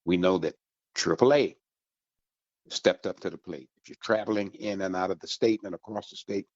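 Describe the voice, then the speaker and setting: A man hosting a radio program, giving announcements in a deliberate, emphatic way with a husky voice. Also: low-pitched, no echo. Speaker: radio host. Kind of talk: promotional radio talk with announcements. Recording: dull lo-fi radio recording.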